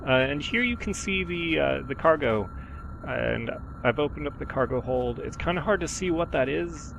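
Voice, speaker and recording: A man with a sore, sick throat talks through his gameplay in a casual, meandering voiceover, stopping often for ums and uhs. His middle-pitched voice sits on a gravelly, creaky edge. A steady hiss of noise runs underneath the recording. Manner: relaxed, rambling, many ums and uhs. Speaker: gaming channel host.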